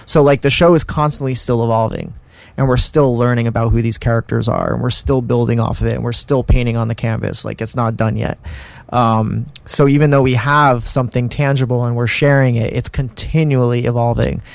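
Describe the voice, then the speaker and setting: An excited man, the playwright, strings his thoughts together in long, run-on sentences. His voice is mid-pitched and recorded dry and close. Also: brisk, creaky, dry recording. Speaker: playwright of the show. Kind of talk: casual interview talk.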